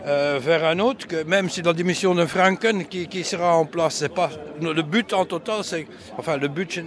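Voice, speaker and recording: Brief: non-native man, slightly high voice, halting answers full of restarts, outdoor protest interview. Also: fairly bright tone. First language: not French. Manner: halting, many restarts and fillers. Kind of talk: hesitant street interview answers. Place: outdoor street demonstration.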